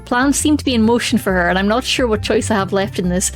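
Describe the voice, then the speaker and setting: A woman's voice: medium pitch, fast. A woman streaming a game, chatting expressively and reading the story text aloud. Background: faint music.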